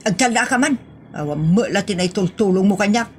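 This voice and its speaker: A woman voicing a nagging, quick-tempered wife in a comedic audio drama, complaining and scolding. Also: mid-range voice, expressive, a little hiss or hum, close to an everyday voice.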